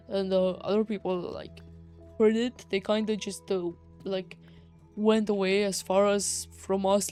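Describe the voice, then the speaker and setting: Female high schooler, Romanian accent, mellow tone, exasperated slangy vlog rant, music underneath. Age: teenager, high schooler. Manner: exasperated, slangy, emphatic with swearing. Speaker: vlogger. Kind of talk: casual personal rant.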